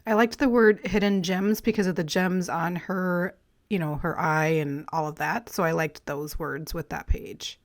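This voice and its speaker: Woman vlogger, slightly raspy and throat-clearing, relaxed rambling crafting chat. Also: on the low side, measured pace. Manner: relaxed, rambling, friendly, with light laughs. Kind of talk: casual chatty voice-over while collaging.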